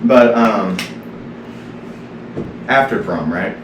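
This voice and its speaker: A man's voice: on the low side, lively intonation, extremely slow. A young American man chatting casually with friends as a podcast host, recorded in a roomy space from a distance. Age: young adult. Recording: roomy, distant mic, clear background noise.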